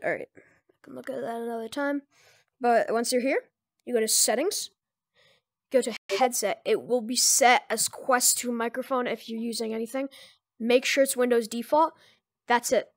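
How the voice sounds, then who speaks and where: A speaker giving informal, improvised step-by-step instructions in a casual screen-recorded software tutorial. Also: mid-range voice, moderate pace, long pauses, no room sound, studio quality. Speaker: tutorial presenter.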